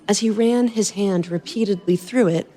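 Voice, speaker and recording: A woman reading fan fiction aloud as a podcast host, in an expressive storytelling style. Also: mid-range voice, moderate pace, big-room sound, low-quality recording.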